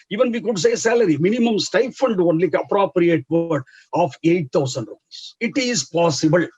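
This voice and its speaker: A man with an Indian English accent explaining as a chartered accountancy educator, halting with many fillers in an earnest advisory way. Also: fairly high pitch, darkish tone, husky, dry recording, muffled recording. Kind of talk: explanatory interview answer.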